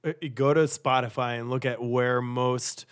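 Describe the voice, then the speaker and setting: A man with a rough, raspy voice talks in casual podcast chat. His speech is laid-back and rambling. He restarts his sentences often and leans on filler words. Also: medium pitch, steady tone, normal speed. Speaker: band singer and guitarist.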